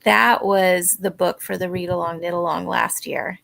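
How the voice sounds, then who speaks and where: A woman's voice: lowish voice, fairly even intonation, normal speed, gravelly, a bit bright. A woman hosting a livestream, chatting casually. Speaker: livestream host of crafting sprints. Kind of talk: casual chat.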